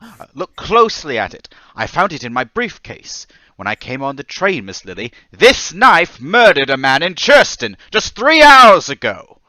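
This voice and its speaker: An actor playing a troubled, desperate man confessing to murders, halting and pleading in old time radio drama style. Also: on the high side, big pitch swings, bright, forceful tone, dry recording, full character voice, low-quality recording. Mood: frantic, insistent. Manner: halting, agitated, stammering, pleading.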